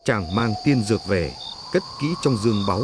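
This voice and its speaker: A man's voice: on the low side, expressive, moderate pace. A man reading a scripted fairy tale as a storyteller. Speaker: storyteller narrator. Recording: music playing behind the voice. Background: prominent background music.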